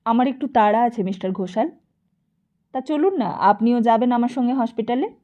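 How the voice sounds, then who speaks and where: A woman's voice: fairly high pitch, normal speed, phone-quality sound, lightly acted. A woman voicing a mild, dutiful husband who speaks politely and with restraint in an audiobook. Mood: calm, polite.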